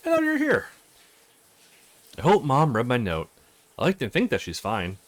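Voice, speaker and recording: A man's voice: mid-range voice, wildly swooping pitch, normal speed. A man hosting a let's play, reading visual novel dialogue aloud, acting out lines and adding casual commentary. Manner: acts out characters' lines with exclamations.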